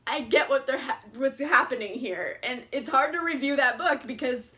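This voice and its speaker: A woman's voice: high-pitched, moderate pace, bright, forceful tone. A young woman casually reviewing books in a chatty vlog, laughing often and swearing freely. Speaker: book reviewer.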